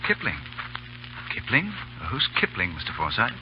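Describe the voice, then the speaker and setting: A gruff, breezy veteran cavalry officer in a vintage radio play. He is sardonic and blunt, with very mobile intonation. The broadcast is muffled, with a faint hum underneath. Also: lowish voice, wildly swooping pitch, moderate pace. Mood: teasing. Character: gruff, wry, soft-hearted veteran cavalry lieutenant. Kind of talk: old-time radio drama acting.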